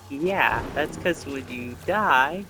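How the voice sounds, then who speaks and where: Bright-voiced man explaining a video game. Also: fairly high pitch, slight background noise. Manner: explanatory, thinking aloud. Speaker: gameplay commentator. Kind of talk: casual explanatory gameplay commentary.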